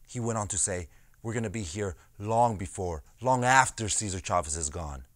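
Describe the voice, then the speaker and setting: Man acting as low-voiced plain-spoken farmworker organizer. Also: normal speed, a bit bright, no echo, lightly acted. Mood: wry, recounting. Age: 20s. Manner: conversational storytelling with frequent "you know". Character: farmworker turned union organizer, streetwise and plain-spoken, recounting old struggles. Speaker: actor. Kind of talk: dramatized oral-history monologue.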